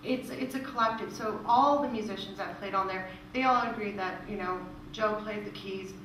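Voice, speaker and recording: A woman's voice: medium pitch, moderate pace, a bit bright. A woman answering audience questions at an informal presentation, explaining things and repeating phrases for emphasis. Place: echoey room at a live presentation. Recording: echoey room, distant mic, clear background noise.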